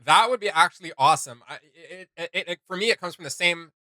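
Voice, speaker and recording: A man chatting on a reaction channel, excited and rambling, full of likes and ums. His voice has a bright edge. It is recorded dry and close. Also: high-pitched, fast talker, no echo. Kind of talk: casual reaction chat.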